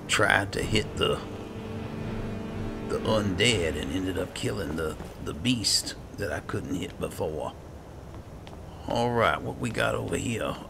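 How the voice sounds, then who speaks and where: A man's voice: low-pitched, expressive, takes it slowly, pauses often, music in the background. A man giving casual roleplay commentary on a video game, muttering to himself in short bursts. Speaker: gamer, video host. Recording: clearly noisy, game sound behind.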